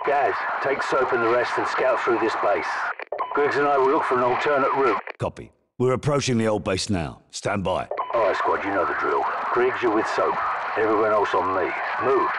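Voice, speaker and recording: A man performs a commanding British army captain from a military shooter. His gruff delivery is clipped and authoritative, swinging widely in pitch as he gives orders and radio calls. The voice sits amid loud battle noise with radio processing. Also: lively intonation, moderate pace, natural voice. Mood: low, measured. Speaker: video game voice actor.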